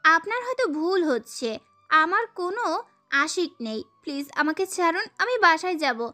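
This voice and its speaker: A woman's voice: very high-pitched, animated, unhurried, bright, forceful tone, faint music behind, exaggerated voice. A woman voicing a frightened schoolgirl in an audio story, pleading in distress.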